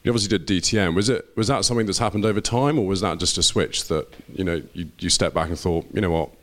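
A man's voice: sits low, fast talker, fairly bright tone. A man chatting casually as a panel interviewer, tentative and self-effacing. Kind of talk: casual chat.

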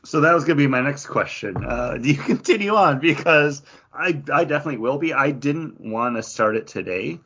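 A man's voice: mid-range voice, lively intonation, fairly quick, hardly any pauses, sharp tone, muffled recording, slight background noise. A man co-hosting a podcast in an easygoing, conversational way, peppering his sentences with "like". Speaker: podcast co-host. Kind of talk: casual discussion.

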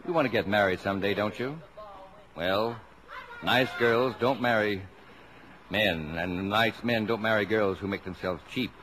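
A man's voice: on the low side, measured pace, a bit bright. An actor playing a strict, old-fashioned, sarcastic father of a big family in a 1950s radio drama. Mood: lecturing. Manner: sarcastic, indignant, emphatic. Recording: noisy old radio broadcast.

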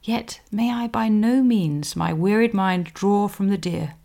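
Measured scholarly woman narrator. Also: medium pitch, vocal fry, dark tone. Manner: scholarly, measured, emphatic when quoting. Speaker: podcast host, historian. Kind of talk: scripted explanatory narration with read quotations.

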